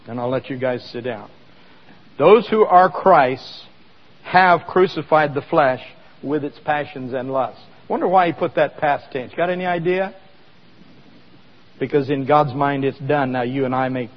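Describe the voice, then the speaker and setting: A man's voice: a little high, long reverb tail, muffled recording. A man preaching a sermon in a conversational way, asking the congregation questions as he explains scripture. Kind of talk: sermon with live demonstration.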